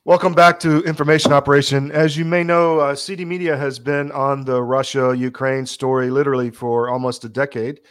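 Man, middle-aged, podcast host, medium pitch, informal conversational delivery. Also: steady tone, a little fast, hardly any pauses. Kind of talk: conversational host talk and personal ad read.

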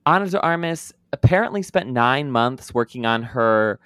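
A man's voice: mid-range voice, expressive, normal speed, fairly bright tone, clear, resonant tone, dry recording, clean studio sound. Chatty opinionated man on a podcast. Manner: chatty, opinionated, many fillers and restarts. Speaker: podcast co-host. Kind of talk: casual chat reviewing a movie.

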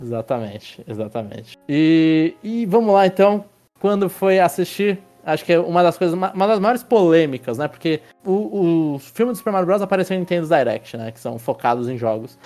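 A man's voice: on the high side, expressive, fairly quick, mellow, soft background music. A man hosting a casual film review podcast, rambling with restarts and tag questions as he leads the talk. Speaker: podcast host.

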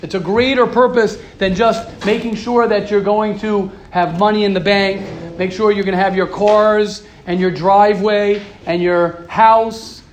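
A man's voice: sits high, steady tone, measured pace, hardly any pauses, reverberant, slight background noise. A man teaching a Torah class to a live group, emphatic and repetitive, asking rhetorical questions. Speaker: rabbi giving a class. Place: room with a live audience.

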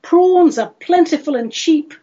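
A woman voicing an earnest, lovelorn little male creature in a comic poetry recital, theatrical and pleading, with drawn-out phrases. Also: extremely high pitch, lively intonation, dark tone, pitched above the performer's own voice. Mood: pleading, lovestruck. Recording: dull lo-fi recording.

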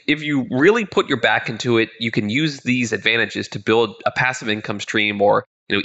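A man's voice: mid-range voice, gravelly, a bit bright. A man in his thirties hosting a podcast, talking upbeat and conversational, his voice a bit congested, nasal and crackly from being ill. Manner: upbeat, conversational, with frequent word repeats. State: congested, slightly nasal from illness. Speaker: podcast host. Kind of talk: solo podcast monologue.

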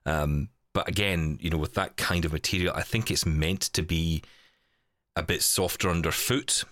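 A man's voice: sits low, normal speed, fairly bright tone, no echo. A man chatting casually as a podcast host, candid and a little hesitant, often repeating his words. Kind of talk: casual chat.

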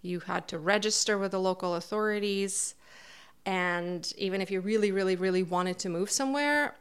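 A woman's voice: mid-range voice, moderate pace, smooth. A middle-aged woman from Kazakhstan recalling her family's past in an interview, speaking reflectively with occasional fillers. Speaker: interviewee, NGO founder. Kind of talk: personal recollection in an interview.

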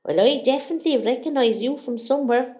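A woman acting a nosy, warm-hearted older Irish mother from West Cork in a radio play, chatty and exclamatory. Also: sits high, fast, close to an everyday voice. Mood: chatty, curious.

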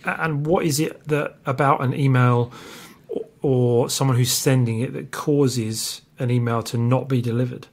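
A man hosting a podcast, interviewing conversationally and easing into his questions in an informal, halting way. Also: mid-range voice, steady tone, a little mellow. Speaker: podcast host.

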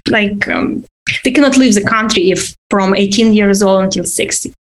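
Ukrainian woman lawyer being interviewed, lots of ums, mid pitch, chuckles here and there. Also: rough recording. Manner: halting, many fillers, laughs between phrases. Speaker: immigration attorney. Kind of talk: interview answers.

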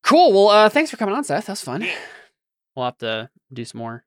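A man's voice: high-pitched, huge pitch swings, normal speed, no echo, studio quality. A man hosting a podcast in casual chat, informal and a little hesitant as he thinks aloud. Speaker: podcast host.

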